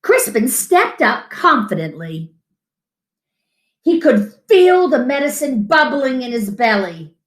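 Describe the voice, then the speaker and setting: A middle-aged woman reading a picture book to children expressively, with dramatic, deliberate pauses. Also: fairly high pitch, very animated. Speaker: children's storyteller. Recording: roomy home echo.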